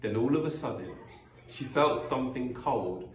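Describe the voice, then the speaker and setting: A man reading a children's story aloud in an expressive, deliberate storytelling manner. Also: mid-range voice. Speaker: school vice principal reading a children's story. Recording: echoey room.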